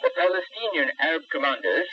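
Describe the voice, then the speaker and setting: Over a dull shortwave recording, a man reads the news like a formal proclamation. His pitch rises and falls emphatically, in the scripted declamatory style of 1960s propaganda radio. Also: big pitch swings, brisk, a little hiss or hum. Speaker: radio newsreader.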